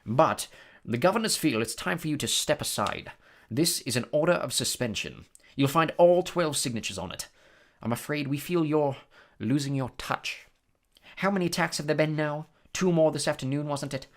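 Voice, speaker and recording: Man acting cold aristocrat villain, storybook, smooth, condescending, sneering, very varied pitch. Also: expressive, normal speed, natural voice. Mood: smug, lazy.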